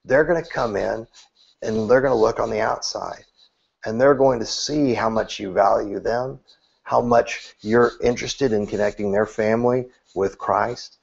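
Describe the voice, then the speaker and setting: A man's voice: fairly low pitch, normal speed, creaky, husky. A man in his thirties giving church marketing advice on a video call, earnest, with frequent restarts. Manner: earnest, animated, gestures with both hands; repeats words when restarting. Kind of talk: interview answer, advice. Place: small room, seated on a couch. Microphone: video call webcam. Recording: dull lo-fi webcam sound.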